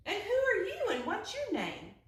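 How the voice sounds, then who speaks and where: A woman's voice: extremely high pitch, wildly swooping pitch, moderate pace, pitched above the performer's own voice, heavily acted. A woman voicing a brave, indignant female rabbit in a storybook, scolding and emphatic. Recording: echoey room, distant from the mic.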